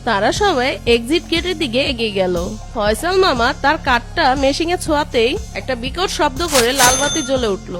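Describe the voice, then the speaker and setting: A woman's voice: high-pitched, lively intonation, normal speed. A woman reading scripted narration for a cartoon story. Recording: music behind the voice.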